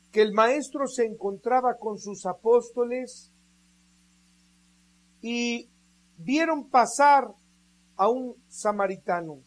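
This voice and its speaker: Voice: mid-range voice, low-quality recording. A radio preacher delivering a sermon, speaking emphatically and building the message through rhetorical questions.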